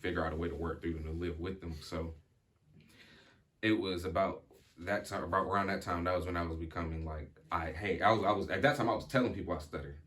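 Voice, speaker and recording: A young man with a low, deep voice talks through his thoughts slowly in a casual chat. He fills his sentences with ums and restarts, and now and then catches on a stutter. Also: steady tone, a bit bright. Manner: reflective, many fillers and restarts, occasional stutter. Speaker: podcast guest.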